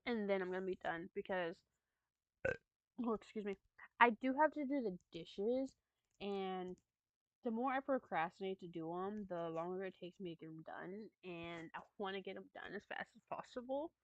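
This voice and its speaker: A woman's voice: mid-range voice, lively intonation, no room sound, muffled recording. A woman streamer who chats casually and reads horror stories aloud, stumbling over words, swearing at her slips and laughing. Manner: halting reading with stumbles and swearing; laughs and chats with viewers between readings. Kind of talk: casual chat and dramatic horror reading.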